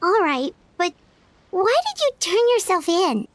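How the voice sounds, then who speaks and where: Casting: a tiny, blunt fairy sidekick in a game, very high and squeaky, close dry studio sound. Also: female voice, sing-song, a little slow, no echo, exaggerated voice. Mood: curious. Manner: talks about herself in the third person. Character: small floating female fairy companion, earnest and blunt. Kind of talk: video game voice acting.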